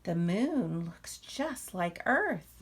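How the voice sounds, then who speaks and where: A woman's voice: fairly low pitch, big pitch swings, extremely slow, husky, exaggerated voice. A woman voicing an eager, imaginative little boy bear cub from a storybook, with wide-eyed, emphatic delivery. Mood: amazed.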